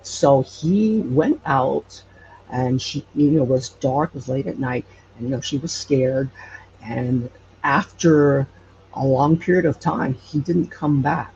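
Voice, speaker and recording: A man from Hawaii telling stories casually as an interview guest, halting and searching for words as he goes. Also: medium pitch, creaky, a little mellow. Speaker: podcast guest telling local legends. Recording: dull lo-fi audio, faint noise.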